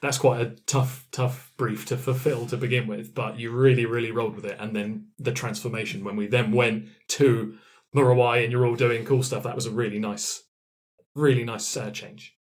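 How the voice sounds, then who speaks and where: A man hosting a relaxed post-game discussion as game master, speaking in an encouraging, appreciative way. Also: medium pitch, normal speed. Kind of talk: casual post-game chat.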